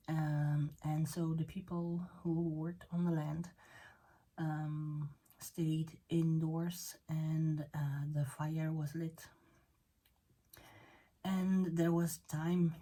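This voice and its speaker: A low, husky woman whose first language is Dutch talks slowly through a tarot reading. She pauses often and fills the gaps with "um" and "uh." Her tone is mellow and soft, and she sometimes drops nearly to a whisper. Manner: halting, many fillers and restarts. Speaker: tarot reader. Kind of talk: spoken tarot reading video.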